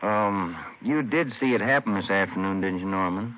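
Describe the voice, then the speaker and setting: A man's voice: fairly low pitch, moderate pace, a little hiss or hum, lightly acted. A man playing an earnest, easily exasperated police detective partner in an old radio crime drama. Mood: questioning. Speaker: supporting actor. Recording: narrow, tinny old radio recording.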